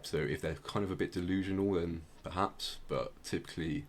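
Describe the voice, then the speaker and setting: A man's voice: low voice, steady tone, brisk, a little hiss or hum. A man hosting a podcast, explaining things in a casual, rambling way with plenty of hedging. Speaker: podcast host.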